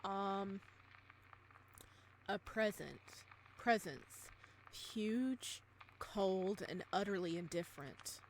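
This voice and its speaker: A woman's voice: medium pitch, very slow, pauses often, slight background noise, poor audio. A woman reading a visual novel game aloud, with dramatic, theatrical narration and playful reactions. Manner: expressive, theatrical.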